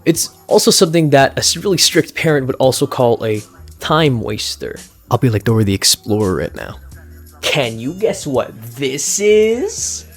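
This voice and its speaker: A man's voice: medium pitch, very animated, normal speed, faint music behind. A young man from the United States, giving a scripted class speech in a casual, wry way with slangy asides. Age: young adult. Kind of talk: recorded speech, scripted but jokey.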